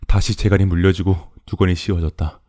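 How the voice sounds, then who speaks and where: A man's voice: deep voice, steady tone, fairly quick, dark tone. A man narrating a scripted first-person horror story for an audio drama. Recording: music behind the voice.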